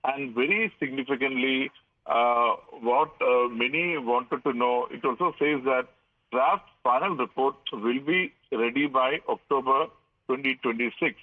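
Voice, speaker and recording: Heard over a tinny, narrow line like a phone, a man reports live as a legal editor. He has an Indian accent and a mid-range voice. His delivery is halting, with frequent fillers and repeated words. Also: mid-range voice.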